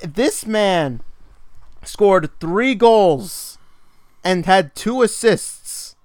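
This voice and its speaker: A man co-hosting a sports podcast, talking in a somewhat high voice. He rants with exasperation and lets out laughs between his points. The sound is dry and close, with no room echo. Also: very slow. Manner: animated, exasperated ranting with laughs. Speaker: podcast co-host. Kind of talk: casual sports chat.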